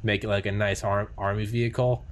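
A man hosting a podcast in casual chat, rambling excitedly with lots of swearing and filler words. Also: fairly low pitch, brisk. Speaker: podcast host.

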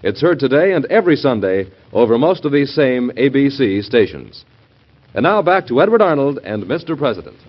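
A man's voice: mid-range voice, very animated, fairly quick, a little hiss or hum. An American man announcing a scripted classic radio promotion in a formal, polished manner. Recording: dull vintage radio recording.

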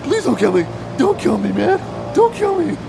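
A man's voice: very high voice, sing-song, normal speed, gravelly, noisy background, heavily acted. A man playing a terrified street thug in an audio drama, panicked and pleading. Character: terrified young male street thug begging for mercy. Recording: loud music over the voice.

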